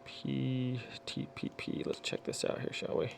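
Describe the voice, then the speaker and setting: A man's voice: mid-range voice, monotone, a little slow, vocal fry, a little hiss or hum. A man hosting a casual product unboxing, chatting in a folksy way and muttering to himself as he works. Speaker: unboxing video host.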